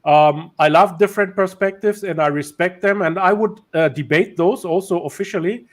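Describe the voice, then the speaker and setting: A man's voice: sits high, normal speed. A male host whose first language is German or Turkish, speaking English in a casual interview over a video call, with many fillers and restarts.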